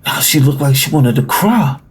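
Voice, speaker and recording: A male actor plays a grown son telling his own story in an audio drama. His mid-pitched voice has a husky edge, and he narrates in a wry, confiding way with simmering resentment. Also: expressive, normal speed. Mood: heavy-hearted. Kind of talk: first-person scripted audio drama narration.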